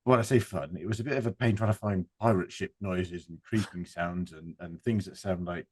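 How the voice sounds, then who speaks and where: A man's voice: low-pitched, fairly even intonation, brisk, a little mellow, slight background noise. A man chatting casually in a group as a writer and editor, breaking into acted-out retellings. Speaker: audio drama writer and editor. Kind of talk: casual group chat about projects.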